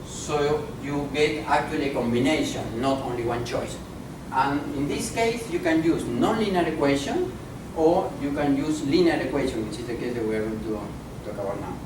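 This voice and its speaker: Man, academic lecturer, deliberate and halting; distant, echoey, noisy room recording. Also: mid-range voice. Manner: deliberate, halting, many fillers. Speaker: visiting professor and speaker. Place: conference room.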